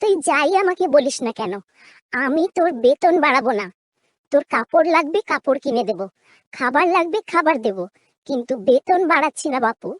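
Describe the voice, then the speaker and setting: A woman's voice: sits high, expressive, brisk. A woman playing a stubborn but fond lady of the house in a cartoon drama, speaking firmly and familiarly. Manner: firm, familiar, unyielding.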